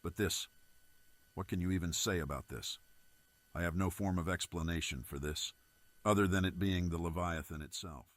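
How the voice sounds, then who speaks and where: Deep-voiced, earnest man reporting , as acted out. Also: normal speed, dry recording. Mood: disbelieving. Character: unsettled male news reporter revealing a leaked monster report. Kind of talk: scripted spoken report in an amateur disaster drama.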